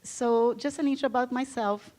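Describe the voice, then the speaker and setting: A woman's voice: a little high, steady tone, normal speed, dark tone. Enthusiastic Brazilian-born woman giving a talk. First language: Portuguese. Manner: enthusiastic, chatty, frequent fillers. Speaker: guest speaker. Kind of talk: conference talk. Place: event room with live audience.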